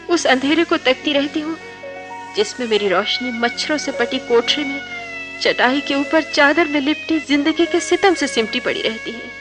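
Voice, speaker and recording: A woman's voice: high-pitched, lightly acted. A woman acting a defiant, lovelorn young woman in a radio drama, with an intense, poetic delivery. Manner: poetic, emotionally heightened delivery.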